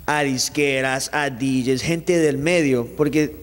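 A man's voice: mid-range voice, slow, big-room sound. A young man from Ecuador, a singer, chatting animatedly in a studio interview into a handheld mic, often restarting his sentences. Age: young adult. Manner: animated, gestures with his hands, many restarts. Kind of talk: casual interview chat. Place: small studio set, indoors. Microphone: handheld mic held by the interviewer.

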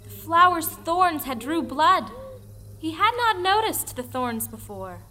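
A woman's voice: very high voice, big pitch swings, unhurried, pushed and bright, slight background noise, full character voice. A woman narrating a folktale audio play as a dramatic storyteller. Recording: long reverb, music underneath.

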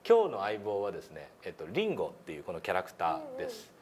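Lively low-voiced man explaining a lesson. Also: quick pace, a little hiss or hum. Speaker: pronunciation lesson instructor. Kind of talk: informal explanatory lesson talk. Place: meeting room indoors.

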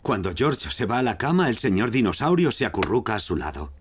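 A man's voice: on the low side, big pitch swings, brisk, a little hiss or hum. A man with a Castilian Spanish accent reading scripted narration for a children's cartoon.

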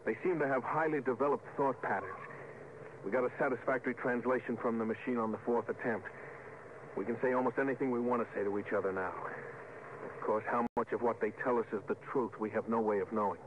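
An actor playing a dutiful starship communications officer, earnest and deferential, in 1950s radio drama style. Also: male voice, mid-range voice, steady tone, fast talker, lightly acted. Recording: noisy, phone-like vintage radio recording.

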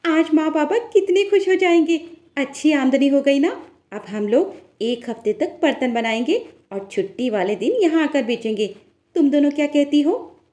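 A woman's voice: high voice, expressive, moderate pace, dark tone, voice pushed up. A woman voicing eager, hardworking young village sisters who sell clay toys in a cartoon story. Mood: happy, excited.

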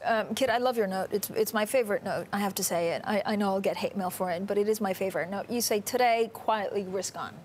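Young female anchor, mid-pitched, direct and probing, lapel mic in a studio with faint ambience. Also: quick pace, hardly any pauses, a little hiss or hum. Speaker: TV news anchor. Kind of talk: studio interview.

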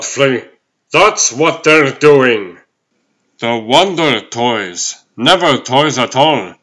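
A man voicing an excitable elderly animal toymaker in a comic dub, exclaiming with emphasis. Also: medium pitch, voice pushed down, low-quality recording. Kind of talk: comic dub character acting.

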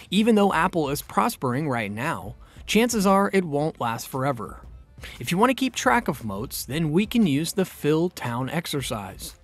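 A male narrator reads a scripted explainer in a smooth, read-aloud delivery. His voice sits a little high and swings widely in pitch. Faint background music runs under him.

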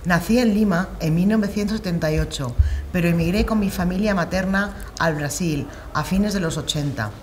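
A middle-aged woman reads aloud in a steady, plain way. Her voice sits somewhat low for a woman. A faint room hum is audible behind her. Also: normal speed. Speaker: reader in a shared reading group. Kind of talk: reading an essay aloud. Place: large hall, seated on the floor.

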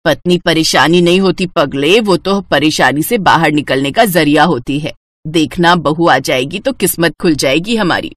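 A woman's voice: low-pitched, normal speed, no echo, studio quality. A woman voicing a homely older village mother in a cartoon story, bossy yet loving, chatty and emphatic. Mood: coaxing.

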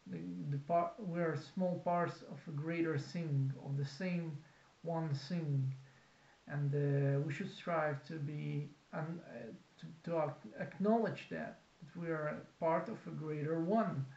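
Russian-accented man, halting, roomy lo-fi sound. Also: a little high, steady tone, a little mellow. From Kazakhstan. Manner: halting, many fillers and restarts. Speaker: vlogger. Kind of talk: unscripted talk to camera. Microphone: webcam at desk. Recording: roomy, dull lo-fi webcam sound.

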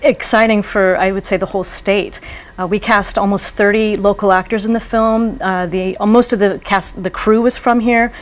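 A woman's voice: mid-range voice, fairly even intonation, moderate pace. A woman in her thirties or forties giving conversational answers as a casting director in a studio interview.

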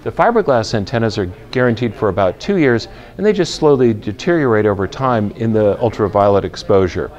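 Male product presenter, 50s, slightly low pitch, methodical instructional delivery. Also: lively intonation, brisk, hardly any pauses, darkish tone, lo-fi sound. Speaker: product presenter in a buyer's guide. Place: large retail store, indoors. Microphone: lapel mic.

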